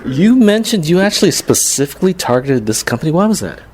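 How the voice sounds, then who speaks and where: A man radio host asking his guests questions in a quick, lively way. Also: a little high, husky. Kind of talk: radio interview.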